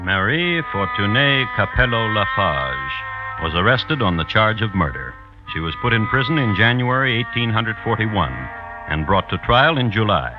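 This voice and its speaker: Low-voiced, wry man narrating. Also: very animated, normal speed, over loud music. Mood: dry. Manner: dry, wry, formal. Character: wry, deadpan storyteller of historical crime. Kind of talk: scripted old-time radio narration. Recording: dull old radio recording.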